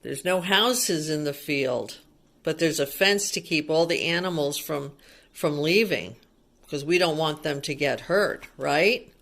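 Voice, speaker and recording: A woman's voice: sits low, a little slow, fairly bright tone. A woman reading a picture book aloud to young children, asking simple questions and explaining things as a teacher would.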